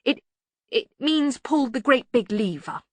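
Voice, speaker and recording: A woman acts out a sensible male academic wizard in a comic audiobook. He picks his words diplomatically, hesitant and deferential to his superior. The voice is crisp and bright, recorded dry. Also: medium pitch, big pitch swings, dry recording, exaggerated voice. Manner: halting, deferential, resigned. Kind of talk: acted audiobook dialogue.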